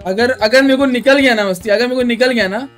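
Young guy streaming a game, really high voice, kinda whiny pleading, music faintly behind. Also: quick pace, fairly bright tone. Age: young adult. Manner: pleading, complaining. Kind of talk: casual livestream chat while gaming. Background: background music.

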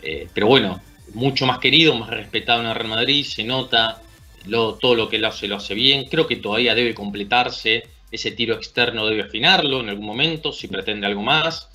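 Argentine man, 30s, bright voice, pundit on a video call, musing and checking in with tag questions. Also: on the low side, normal speed, faint music behind. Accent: Argentine Spanish. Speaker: basketball analyst. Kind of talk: casual sports discussion. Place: small room at home.

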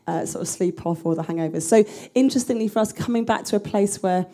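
Earnest woman panelist, mellow voice. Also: mid-range voice, quick pace. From England. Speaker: panelist, church planter. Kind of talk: personal introduction on a conference panel. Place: large conference room.

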